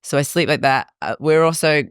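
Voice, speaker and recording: A woman in her thirties, a fitness coach, chatting in a podcast interview, animated and quick with asides. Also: deep voice, smooth, no echo, studio quality.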